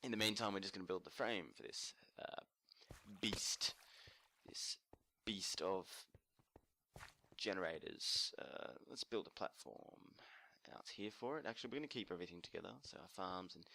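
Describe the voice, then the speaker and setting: A man casually narrating a building tutorial while playing, murmuring to himself and correcting himself as he goes, his voice a little croaky. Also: deep voice, moderate pace, sharp tone. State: slightly croaky from screaming at a concert. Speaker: let's play host.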